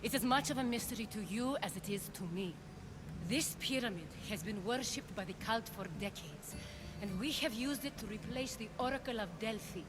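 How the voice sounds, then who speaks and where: A woman's voice: medium pitch, noisy background, lightly acted. Poised, ambitious former cult leader woman, voiced by an actress. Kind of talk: dramatic video game cutscene acting.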